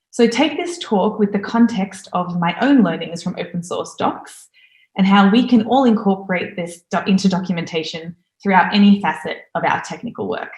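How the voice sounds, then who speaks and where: A woman in her thirties, from Australia, giving a recorded conference talk from home in an eager, lively way. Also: medium pitch, echoey room. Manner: enthusiastic, lively presenter. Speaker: conference speaker.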